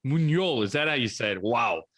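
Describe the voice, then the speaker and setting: A man's voice: medium pitch, expressive, normal speed, a bit bright. A man hosting a research talk competition, thanking and introducing presenters in an upbeat way. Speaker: competition host.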